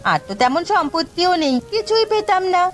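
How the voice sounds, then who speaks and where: A woman plays a village wife and mother in a cartoon. She is chatty, grasping and sharp-tongued, in a very high voice. Soft music sits underneath. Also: expressive, fairly quick. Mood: spiteful. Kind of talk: Bengali cartoon story voice acting.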